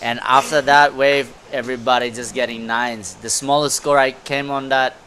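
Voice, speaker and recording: A man giving casual, halting, appreciative sports commentary as a guest beside the main host. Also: medium pitch, steady tone, sharp tone, a little hiss or hum.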